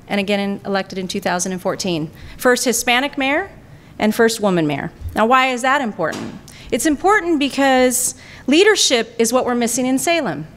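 Middle-aged woman, rousing campaign pitch at a podium mic, voice sits a bit high. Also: a little hiss or hum. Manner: confident, rousing. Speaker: political candidate. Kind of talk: campaign speech. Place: banquet room. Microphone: podium microphone.

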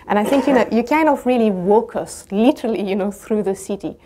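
Woman, non-native accent, academic discussant, measured long questions, mid pitch, lecture room, distant camera. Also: dark tone, slight background noise. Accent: non-native English. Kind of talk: academic conversation, asking questions.

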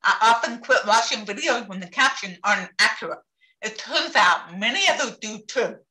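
Lively woman with a deaf accent. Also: on the high side. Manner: deaf accent, careful deliberate speech. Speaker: presenter. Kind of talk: live talk on captioning. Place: home office with bookshelves. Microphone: video call. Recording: echoey room on a video call.

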